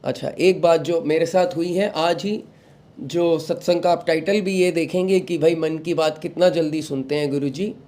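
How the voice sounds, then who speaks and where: A young man, recovering from a sore throat, hosting a devotional talk and giving calm advice to followers while mixing in English phrases. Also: a little high, moderate pace. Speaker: satsang host.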